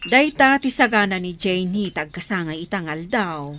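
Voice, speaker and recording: A woman's voice: on the low side, lively intonation, normal speed, a bit bright, slight background noise. A woman playing a loving, cautious, forgiving middle-aged wife in a radio drama, with an Ilocano Filipino accent. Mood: gracious.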